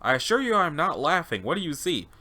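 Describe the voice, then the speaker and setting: Guy as calm polite doctor running an experiment, formal and detached, bright crisp edge, story log. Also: on the high side, brisk, pitched above the performer's own voice, heavily acted. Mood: calm, procedural. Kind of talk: dramatized interview log reading.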